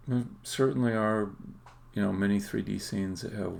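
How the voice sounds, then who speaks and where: Deep, very creaky-voiced man muttering while working. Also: steady tone, moderate pace, darkish tone. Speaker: game developer live-streaming. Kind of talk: casual think-aloud live-coding commentary.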